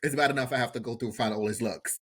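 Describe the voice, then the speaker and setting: A man's voice: mid-range voice, racing, a bit bright, husky. Slangy man chatting on a podcast. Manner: slangy, swears freely, emphatic repetition. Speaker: podcast co-host. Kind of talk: casual chat, TV show recap.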